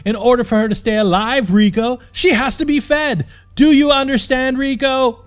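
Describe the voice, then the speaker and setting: Bandit captain, fantasy audiobook: cruel, hot-tempered bully, raised pitch, sneering, stretches words for menace. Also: male voice, fairly even intonation, clear, resonant tone. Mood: furious. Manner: sneering, shouting, exasperated, drawn-out emphasis.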